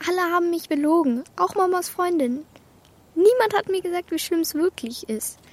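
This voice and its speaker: A woman's voice: very high-pitched, moderate pace. A woman playing Martha, a bold, blunt young girl carrying grief, who talks in colloquial slang in a children's radio play. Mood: angry.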